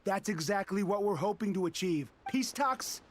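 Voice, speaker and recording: Brash, swaggering drunken soldier. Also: male voice, high-pitched, lively intonation, moderate pace, a little hiss or hum. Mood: defiant. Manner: swaggering, challenging. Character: brash, drunken, battle-hungry resistance soldier.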